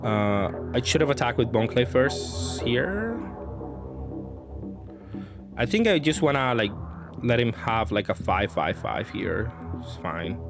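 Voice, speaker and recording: A man's voice: medium pitch, very animated, takes it slowly, pauses often. A man giving casual, improvised commentary on his own card game, thinking aloud hesitantly and often trailing off. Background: background music.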